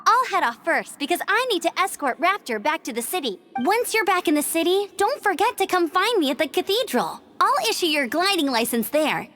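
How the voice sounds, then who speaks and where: A woman's voice: very high voice, sing-song, a little fast, hardly any pauses, fairly bright tone, soft background music, exaggerated voice. A young woman playing a perky, brave knight scout in a video game, chirpy and earnest. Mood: cheerful. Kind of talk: animated video game voice acting.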